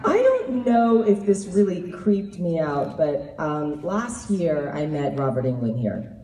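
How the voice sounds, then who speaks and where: Woman, 30s, a touch hoarse, animated laughing storyteller, slow and lively, captured far off in a busy echoing hall. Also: deep voice, a loud crowd, very noisy. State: slightly hoarse. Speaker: convention panel guest. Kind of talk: convention Q&A answers. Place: large convention hall with audience. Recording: roomy hall recording from far off.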